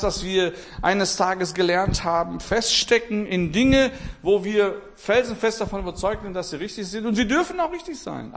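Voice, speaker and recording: A man from Portugal preaching a sermon with passion, repeating his phrases and swinging between loud and quiet. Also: high voice, vocal fry.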